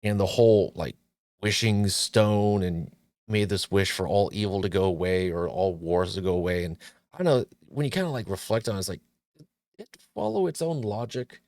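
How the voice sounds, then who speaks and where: A man's voice: fairly low pitch, normal speed, a little mellow, dry recording, studio quality. A man hosting his own movie talk show, chatting casually with jokes and plenty of restarts. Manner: joking, rambling, frequent restarts and fillers.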